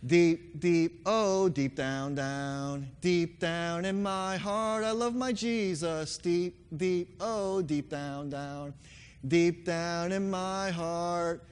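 A young man preaching a sermon with a hoarse voice, earnestly leading his congregation in song. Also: sits high, drawn-out words. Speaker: pastor. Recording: long reverb hall.

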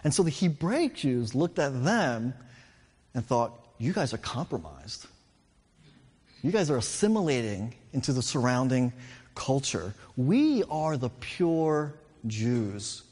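A man's voice: medium pitch, big pitch swings, slow pace, darkish tone, husky, in a large hall. A middle-aged man with a New York accent, preaching a sermon as pastor and explaining with rising and falling emphasis.

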